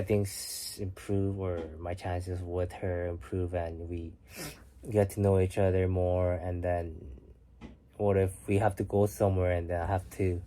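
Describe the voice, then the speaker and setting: Soft-spoken young man, low and mellow. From Korea. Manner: soft-spoken, musing, sometimes drops to a whisper. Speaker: livestream host reading chat. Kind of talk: casual late-night chat. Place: home at night.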